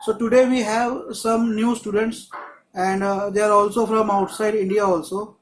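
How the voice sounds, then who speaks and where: A man's voice: high voice, level tone, moderate pace, a little hiss or hum, low-quality recording. A man teaching a screenwriting class on a video call, explaining in a loose, rambling way with many restarts. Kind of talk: live online class.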